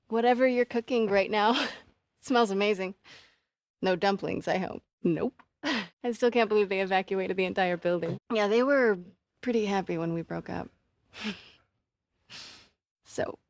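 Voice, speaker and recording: An actress playing a determined, caring young newspaper reporter with an American accent, in naturalistic, conversational video game dialogue with wry asides. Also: female voice, medium pitch, no room sound, clean studio sound. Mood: warm, amused.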